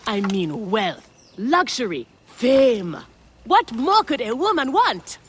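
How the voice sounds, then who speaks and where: A woman's voice: a little high, sing-song, slow pace, a little hiss or hum. A boisterous, swaggering woman gladiator. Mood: boastful excitement. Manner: hearty, exuberant, boastful. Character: boisterous, swaggering female gladiator, warm old friend. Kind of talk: dramatic video game acting.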